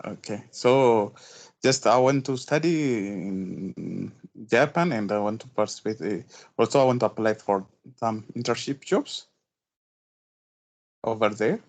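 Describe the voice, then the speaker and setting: A man's voice: lowish voice, lively intonation, unhurried. A man from Ethiopia, hesitantly and politely asking a question on a video call. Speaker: webinar participant.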